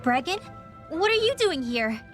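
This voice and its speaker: An actress playing an earnest, determined young rebel guide in a video game. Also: female voice, high-pitched, very animated, unhurried, soft background music.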